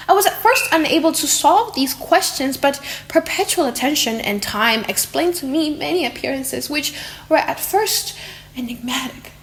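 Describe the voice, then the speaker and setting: A woman voicing a lonely, tender monster in a dramatic, slightly silly audiobook reading, theatrical and emotive with drawn-out words. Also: sits high, fairly bright tone, full character voice. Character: lonely, tender, wretched monster, eager to learn. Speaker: audiobook narrator.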